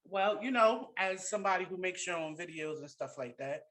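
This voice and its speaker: A man hosting a video-call interview, asking questions in a relaxed conversational way. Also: high-pitched, normal speed. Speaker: podcast host. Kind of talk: casual interview chat. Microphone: headset on video call.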